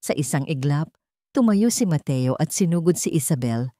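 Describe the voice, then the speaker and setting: A woman narrates a dramatic scripted story in a fairly low voice. The recording is close and dry, with very little room sound. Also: expressive, normal speed, dark tone, dry recording.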